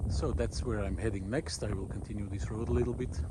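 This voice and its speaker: A man speaking in a reflective, halting monologue as he walks outdoors, hosting his own video series with thoughtful pauses. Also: lowish voice, steady tone. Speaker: host of a walking video series. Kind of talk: reflective monologue while walking. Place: outdoors on a country road in hilly farmland. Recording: outdoor recording with clear background noise. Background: outdoor ambient noise.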